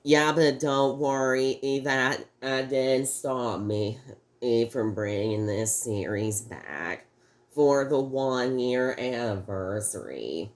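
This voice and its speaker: Slow-talking male reviewer, sick and slightly off-sounding, rambling and halting. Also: mid-range voice. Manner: halting, rambling, frequent restarts. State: sick, voice a little off. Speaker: toy review host. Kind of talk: casual video review.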